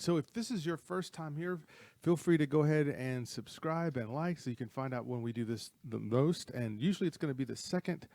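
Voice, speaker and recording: Gravelly man hosting a casual studio show. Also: medium pitch, a little mellow, no room sound. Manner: rambling, self-correcting, chuckles often. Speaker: host. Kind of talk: casual podcast chat.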